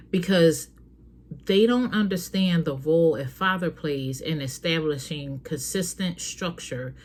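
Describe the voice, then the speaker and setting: A woman hosting a talk show, giving earnest, frank parenting advice straight to her listeners in a casual, preachy way. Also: low voice. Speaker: host.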